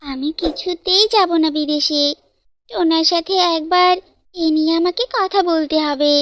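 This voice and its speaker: A woman's voice: very high voice, measured pace, fairly bright tone, highs cut off. A woman voicing an anxious, lovestruck young female bird student in a cartoon, pleading and earnest. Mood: worried. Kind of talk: cartoon fairytale dialogue.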